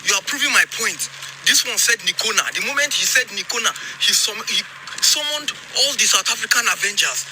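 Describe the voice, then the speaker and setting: Bright, high-voiced man talking to camera. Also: a little fast, slight background noise, rough recording. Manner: animated, exclaiming, teasing. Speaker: TikTok creator. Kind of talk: casual talking-to-camera video.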